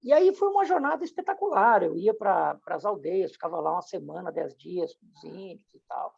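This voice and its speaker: A man's voice: high voice, lively intonation, fairly quick, gravelly, a little mellow, slightly rough. A middle-aged man, telling his story casually and often stammering over short, repeated words. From southern Brazil. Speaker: interview guest, entrepreneur. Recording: slightly dull, muffled audio.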